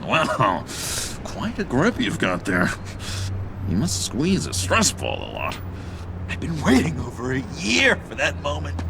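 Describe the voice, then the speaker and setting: A man voicing an excitable, nervous young superfan in a video game, stammering and theatrical, with a manic streak. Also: fairly high pitch, gravelly, noisy background, heavily acted. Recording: music behind the voice.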